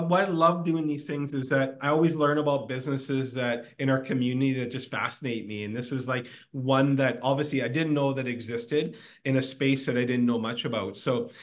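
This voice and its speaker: A man hosting a business interview show, asking eager, chatty questions with lots of fillers, recorded at an indoor garden business. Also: medium pitch. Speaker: host.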